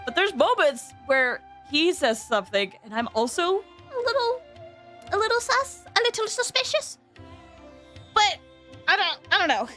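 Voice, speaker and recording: High-voiced, animated woman game streamer. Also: normal speed. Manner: chatty, playful, reads game text aloud. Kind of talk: casual live gameplay commentary. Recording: game music behind the voice. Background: game music.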